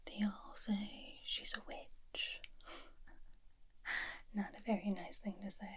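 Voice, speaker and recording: Lady doing a flirty witch asmr roleplay, super breathy whisper right up close, really slow, coy n teasing. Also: medium pitch. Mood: chatty, coy. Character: flirty, free-spirited small-town witch, intuitive and mischievous. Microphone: close binaural microphone.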